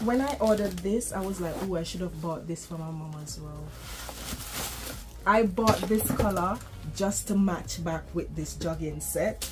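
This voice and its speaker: A young woman chatting candidly in a haul vlog, rambling through asides as she unboxes things in her bedroom. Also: fairly low pitch. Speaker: vlogger. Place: bedroom, indoors.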